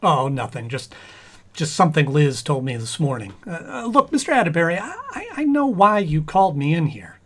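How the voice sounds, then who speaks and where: A man's voice: on the high side, sing-song, a little fast, fairly bright tone, husky, full character voice. A man acting a flustered, eager young husband and employee in an old-time radio sitcom, stammering and earnest. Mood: nervous, apologetic.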